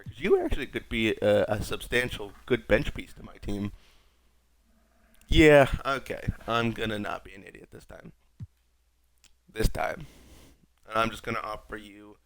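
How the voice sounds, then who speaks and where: A young man with a raspy voice, sick, rambling wryly through casual gameplay commentary as a streamer. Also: lowish voice, a bit bright. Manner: rambling, wry, self-mocking. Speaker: gaming streamer.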